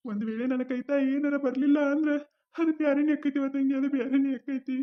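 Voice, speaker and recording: A man's voice: extremely high pitch, fairly even intonation, fast. A man with a North Karnataka accent voicing village characters in a cartoon comedy, in rustic dialect. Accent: North Karnataka Kannada. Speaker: cartoon voice artist. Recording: dull lo-fi sound.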